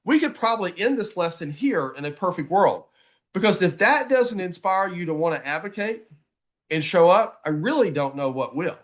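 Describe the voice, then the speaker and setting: A man's voice: high-pitched, animated, normal speed. A man giving a motivational advocacy talk, emphatic and folksy, often repeating phrases for weight. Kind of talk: motivational presentation to a group.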